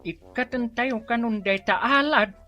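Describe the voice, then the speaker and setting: An actress playing a gossipy village neighbour in an Ilocano radio drama. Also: female voice, a little high, a little slow, sharp tone. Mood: gossiping.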